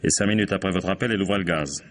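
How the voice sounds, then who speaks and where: An actor playing a sardonic, commanding police inspector in a French radio crime drama, brusque and ironic. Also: male voice, deep voice, fast talker, close to an everyday voice. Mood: stern, ironic.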